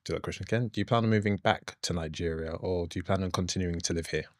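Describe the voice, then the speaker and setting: Man hosting a podcast, very deep voice, dry close sound, relaxed curious questions. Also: quick pace, no echo. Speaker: podcast host. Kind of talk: conversational interview.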